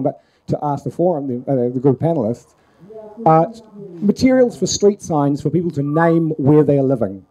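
A man's voice: on the high side, warm tone, rough recording. A middle-aged man with a New Zealand accent, speaking from the audience at a public forum into a handheld microphone. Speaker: audience member, council planner. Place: large crowded festival tent.

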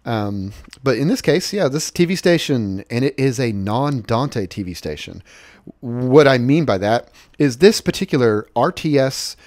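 A man's voice: mid-range voice, lively intonation, unhurried, darkish tone, no echo, clean studio sound. A man presenting a product in a casual, conversational explainer style, recapping points as he walks through slides.

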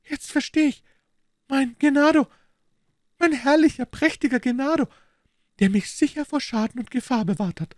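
A passionate young Italian woman from a storybook, excitable and devoted, her exclamations tumbling out in a high falsetto as a male reader puts her on. Her lines leap up and down with feeling, recorded close and dry. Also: normal speed, long pauses, no room sound. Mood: adoring. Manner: breathless, exclaiming, rising falsetto.

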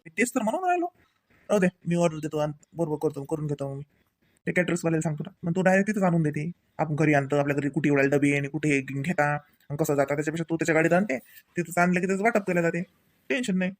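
A man's voice: a little high, rapid-fire, no room sound, close to an everyday voice. Easygoing husband with Varhadi Marathi accent, acted by a man. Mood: reassuring. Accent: Varhadi Marathi of Vidarbha. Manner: casual, homely, colloquial. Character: easygoing, drowsy young husband and father in a comedy cartoon. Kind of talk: colloquial domestic comedy cartoon dialogue.

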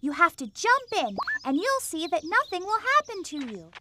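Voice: very high-pitched, sing-song, normal speed, very noisy. An actor voicing a small, friendly animal cub in a children's cartoon, caring, encouraging and reassuring. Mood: explaining kindly.

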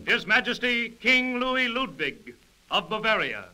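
A stately royal herald, proclaims with pomp in an extremely high voice. It is old radio drama, with loud brass music on the 1930s recording. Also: male voice, sing-song, measured pace. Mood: pompous. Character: formal, ceremonious royal court chamberlain announcing guests.

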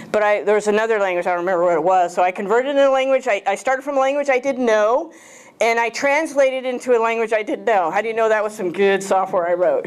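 A woman in her fifties giving a casual, jokey talk to a club audience in a small lecture room, using a handheld mic and often asking the room questions. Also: on the high side. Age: 50s. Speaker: guest speaker.

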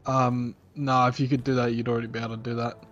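A man's voice: medium pitch, flat delivery, fast talker, a bit bright, highs cut off, faint music behind. A man, down with a sniffle, teasing his friend and laughing often in casual voice chat. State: sick with a sniffle.

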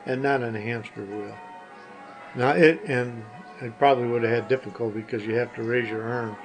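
Older man giving halting short answers. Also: mid-range voice, steady tone, faint music behind, low-quality recording. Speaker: guest, the hosts' father. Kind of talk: casual interview answers.

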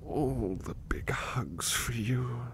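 A man playing a gentle, anxious, sleepy butler in a soft-spoken ASMR roleplay, hesitant and apologetic as he stammers. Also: medium pitch, takes it slowly, creaky. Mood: grateful, affectionate. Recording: faint background noise.

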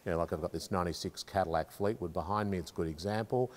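Aussie guy in his 50s talking to camera, really low voice, big echoey garage. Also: quick pace. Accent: Australian. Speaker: car insurance company representative and enthusiast. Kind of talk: explanatory talk to camera. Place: large indoor car storage garage. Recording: echoey hall-like reverb.

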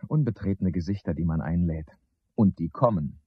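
An actor playing a wistful man lost in surreal memories in a radio play, with a husky voice. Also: low voice, normal speed, no room sound. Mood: wistful, hushed. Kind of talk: 1970s German radio play acting. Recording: dull, lo-fi old radio recording.

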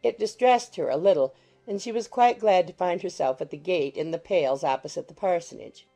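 A woman reads literary narration for an audiobook. Her voice is fairly low and mellow, with a marked creak, and music plays underneath. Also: brisk. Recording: music behind the voice.

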